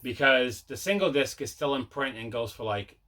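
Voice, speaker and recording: Bright, forceful-voiced man hosting a show. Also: mid-range voice, lively intonation, normal speed. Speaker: host of a collecting video show. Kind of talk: casual unboxing chat.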